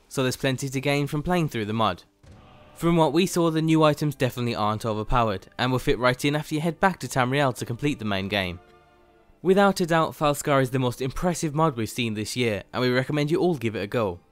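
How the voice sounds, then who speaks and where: A man's voice: medium pitch, expressive, brisk, clear, resonant tone. Man narrating a scripted review over faint music. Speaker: host of a game mod review channel.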